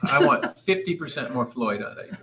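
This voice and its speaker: A man's voice: mid-range voice, animated, a little fast, crowd noise. A man directing a live table read and reading out the scene descriptions in an informal, directive way. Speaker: director and stage-direction reader.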